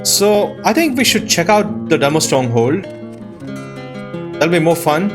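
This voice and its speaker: A man giving casual let's-play commentary in a husky voice, musing aloud and reading names off the screen. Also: fairly high pitch, big pitch swings, measured pace. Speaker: video game player and host. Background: plucked-string game music.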